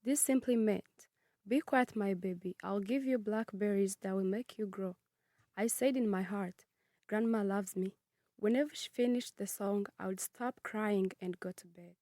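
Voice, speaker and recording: A woman's voice: mid-range voice, moderate pace, dark tone, dry recording, clean studio sound. Earnest young woman reading her life story. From Congo, raised in Kenya. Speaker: student narrator. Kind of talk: reading a personal narrative.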